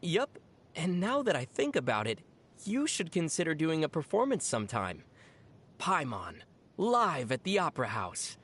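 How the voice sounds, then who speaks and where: A calm young game adventurer who explains things in a measured way and teases with a straight face. The voice sits mid-range and moves with lively intonation. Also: mid-range voice, slightly rough, exaggerated voice. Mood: amused, teasing. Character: calm young traveler with a dry, teasing wit. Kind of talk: video game dialogue.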